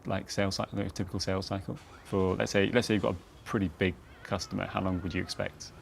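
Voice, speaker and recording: Deep-voiced, fast-talking man interviewing. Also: little pitch movement, vocal fry, a little hiss or hum. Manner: tentative, rephrases questions as he goes. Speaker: podcast host, interviewer. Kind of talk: casual interview questioning.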